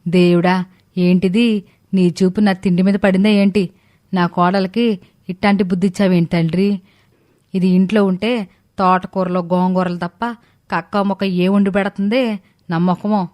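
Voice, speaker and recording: A woman playing a gluttonous, sly older mother-in-law in an animated story, with rustic, drawn-out exclamations. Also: medium pitch, lightly acted. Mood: grumbling dismay. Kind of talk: animated moral story dialogue.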